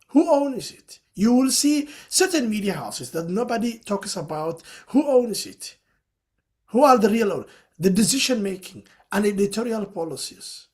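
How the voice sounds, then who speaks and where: A man from Somalia, speaking as a panelist in a webinar discussion. He explains his points earnestly and fills his sentences with "you know". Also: high voice.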